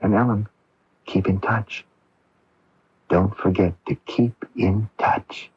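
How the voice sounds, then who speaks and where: Husky, gentle young radio-drama doctor. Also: male voice, fairly low pitch, steady tone, soft background music, heavily acted. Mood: soothing, firm. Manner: halting, hesitant, soothing. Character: kind, earnest young male medical intern, gently evasive. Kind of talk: 1980s radio drama acting. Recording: dull lo-fi 1980s AM radio recording.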